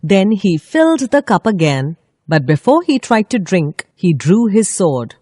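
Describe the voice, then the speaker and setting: A woman storyteller reading a scripted children's story with expressive, deliberate phrasing. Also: mid-range voice, husky, dry recording, clean studio sound.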